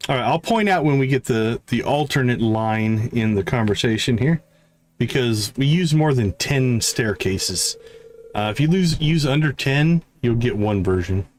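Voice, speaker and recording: A man gives casual live gameplay commentary, thinking aloud at an unhurried gait. His intonation is lively, and faint noise and clicks sit behind his voice. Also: medium pitch. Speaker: game livestream host.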